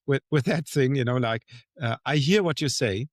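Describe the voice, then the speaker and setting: A man's voice: medium pitch, normal speed, no echo, clean studio sound. A man from Canada hosting a casual podcast interview, chatty and hesitant and stumbling over his words as he asks long questions. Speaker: podcast host.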